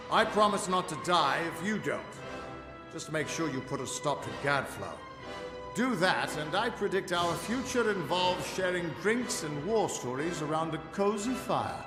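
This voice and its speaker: Music plays behind a resolute fighting man in a fantasy video game. His voice sits a little high and swings expressively as he gives earnest, commanding speeches. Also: sing-song, measured pace. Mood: wry, encouraging. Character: battle-weary, resolute male warrior and rebel leader. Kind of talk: dramatic video game dialogue. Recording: music under the dialogue.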